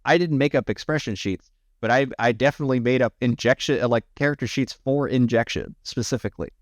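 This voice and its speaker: A man chatting casually to his livestream viewers, rambling with many restarts and sounding slightly hoarse after hours of talking. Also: medium pitch, quick pace, no echo. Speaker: livestreamer and AI art tutorial maker.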